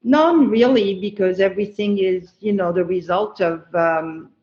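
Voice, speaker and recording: A middle-aged woman from France, answering interview questions slowly and earnestly. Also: mid-range voice, roomy sound, lo-fi sound. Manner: slow, thoughtful, earnest. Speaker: guest.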